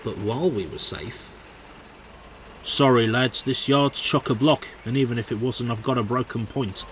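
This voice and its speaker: A man's voice: mid-range voice, normal speed, a little hiss or hum, natural voice. A man with a British accent voicing railway engines and railwaymen in a scripted storybook audio drama. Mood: earnest.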